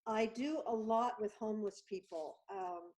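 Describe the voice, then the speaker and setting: A woman guest chatting over a video call, telling stories with lively acted-out dialogue. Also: medium pitch, unhurried. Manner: animated storyteller, acts out dialogue. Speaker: guest artist. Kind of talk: casual video chat.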